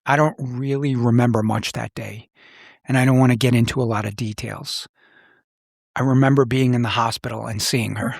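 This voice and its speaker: A man's voice: mid-range voice, steady tone, normal speed, vocal fry, dry recording, studio quality. A middle-aged man telling personal stories in a serious, plain-spoken, reflective way as a podcast host. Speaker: podcast host, former police officer. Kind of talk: reflective personal storytelling in conversation.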